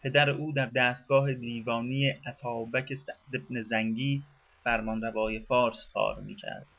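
Male narrator for a radio biography, formal and measured, recorded lo-fi. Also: mid-range voice, fairly even intonation, vocal fry.

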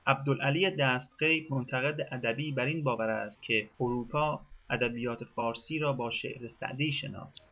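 A man reading a scripted radio documentary in a measured, formal way. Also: mid-range voice, lo-fi sound. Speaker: narrator.

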